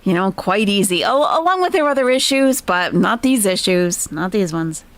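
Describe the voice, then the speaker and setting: Host: woman, animated chatty commentary, dry close sound. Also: medium pitch, moderate pace, no echo. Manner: chatty, explaining to viewers. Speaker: host reacting to videos. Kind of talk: casual reaction commentary.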